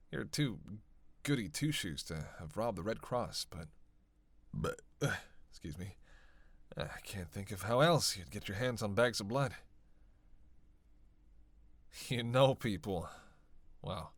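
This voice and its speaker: A man playing a witty, teasing, world-weary vampire in a roleplay audio drama, sarcastic and playful, with drawn-out groans and sighs. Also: mid-range voice, dry recording. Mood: teasing, curious.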